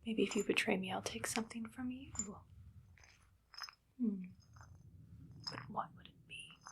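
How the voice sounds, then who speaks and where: A woman playing a menacing, condescending evil doctor in a soft, whispered ASMR roleplay, speaking close to the mic with deliberate phrasing. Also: a little high, vocal fry, background noise. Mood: quietly menacing. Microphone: close ASMR microphone.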